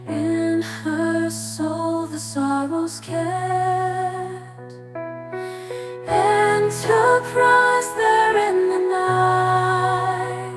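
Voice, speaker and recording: A woman singing a mournful, lullaby-like ballad. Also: very high voice. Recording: loud musical backing. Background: music.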